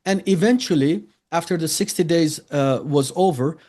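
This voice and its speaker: A man's voice: on the high side, normal speed, a little mellow. A man from Iran with an Iranian accent, a native Farsi speaker, answering interview questions earnestly with many fillers and restarts. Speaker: interviewee, ministry leader.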